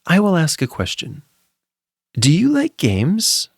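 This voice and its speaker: A man's voice: on the high side, wildly swooping pitch, slow pace, vocal fry, husky, dry recording, studio quality, voice pushed up, heavily acted. A man voicing a chatty, slyly polite monster in a storybook tale, with a coaxing, theatrical manner. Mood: eager. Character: golden-eyed closet monster, chatty, playful, slyly polite.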